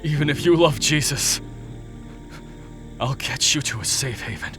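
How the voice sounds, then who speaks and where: Man acting a remorseful, lovestruck warrior in audio drama; slow halting pleas, self-loathing, music clearly underneath. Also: mid-range voice, lively intonation, lightly acted. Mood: anguished devotion. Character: Cain, tormented remorseful male fighter in love, self-loathing. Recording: music behind the voice.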